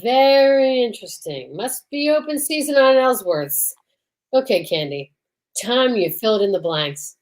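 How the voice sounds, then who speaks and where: A dry, sardonic police detective played by a woman in an old-time radio drama. The voice is pushed lower for the part, though it still sits a bit above average, and every line comes out gruff and unimpressed. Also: big pitch swings, unhurried, a little mellow. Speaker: supporting actor in a radio play.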